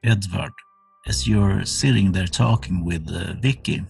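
A man's voice: sits low, unhurried, gravelly, darkish tone, slightly rough, faint music behind. A man working as game master, narrating a horror tabletop roleplaying game in the second person.

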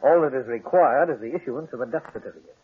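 A man's voice: mid-range voice, quick pace, narrow, tinny audio, slight background noise, close to an everyday voice. An actor playing an officious, guarded male cemetery manager, hesitant and formal, in 1940s radio style. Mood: obliging.

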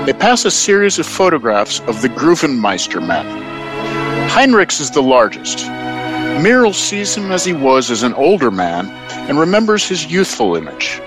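A man reading scripted stage directions as the announcer of an audio play. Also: a little high.

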